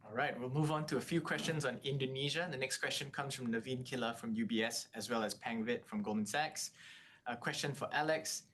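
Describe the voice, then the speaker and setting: A man's voice: a little fast, a bit bright. A man moderating an earnings call, reading out analyst questions. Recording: clear background noise, low rumble.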